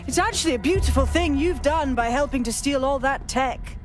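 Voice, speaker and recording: A woman's voice: sits high, fairly quick, soft background music, close to an everyday voice. A woman playing a cold, self-assured terrorist mastermind in a game, composed and contemptuous. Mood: proud, composed.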